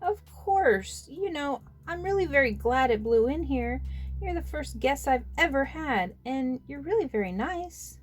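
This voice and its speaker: A woman voicing a shy, timid little man with a squeaky voice who stammers and hesitates, in a storybook. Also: animated, measured pace, faint music behind.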